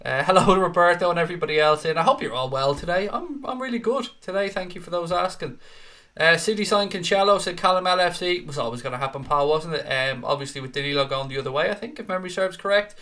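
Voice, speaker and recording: A man's voice: on the high side, fast, pushed and bright. A young Irish man hosting a casual live stream, chatting with viewers in an upbeat, talkative way with the odd swear. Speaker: host.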